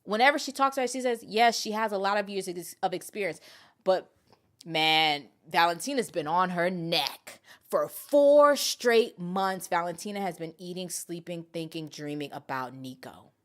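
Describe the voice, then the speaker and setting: A woman's voice: fairly low pitch, moderate pace. A woman hosting a commentary channel, talking casually and emphatically to viewers in slangy language, repeating her points and acting out quotes. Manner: animated retelling, acting out quotes; informal slangy talk with mild swearing. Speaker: host of an MMA commentary channel.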